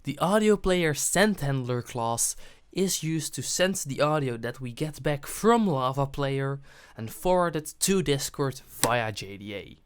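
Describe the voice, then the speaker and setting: A man's voice: medium pitch, expressive, unhurried, smooth. A man presenting a programming tutorial, narrating his steps on screen as he goes.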